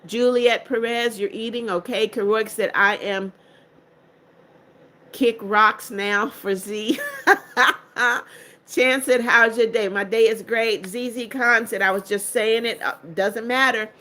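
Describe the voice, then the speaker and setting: Bright-voiced strict older woman. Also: a little high, fairly even intonation, slow pace. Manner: strict, firm about blocking spammers. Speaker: livestream host. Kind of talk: reading out live chat comments.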